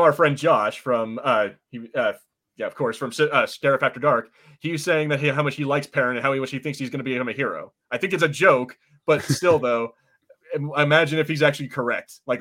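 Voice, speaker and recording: A man co-hosts a podcast in a middling-pitched voice. He talks in a casual, informal review style and swears freely. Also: a bit bright. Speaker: podcast co-host.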